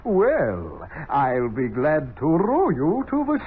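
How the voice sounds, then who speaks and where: An actor playing a courtly, bumbling walking soup spoon in 1940s children's radio acting, fussy, halting and polite. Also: male voice, on the high side, huge pitch swings, narrow, tinny audio, heavily acted. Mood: fussy, courteous. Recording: thin, hissy 1940s radio recording.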